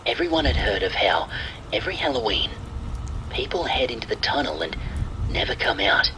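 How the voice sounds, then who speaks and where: A man's voice: fairly low pitch, normal speed, background noise. A man reading a scripted first-person horror story, with rain and thunder sounds behind his voice. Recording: faint steady rain behind the voice. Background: rain and thunder sound effects.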